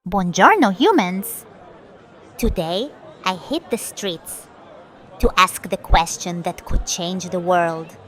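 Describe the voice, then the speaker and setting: A woman's voice: fairly low pitch, animated, takes it slowly, a bit bright, some chatter behind. A woman voicing a brash puppet street reporter, theatrical and declamatory, in a mock interview segment. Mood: brash, showy. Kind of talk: mock vox-pop reporting.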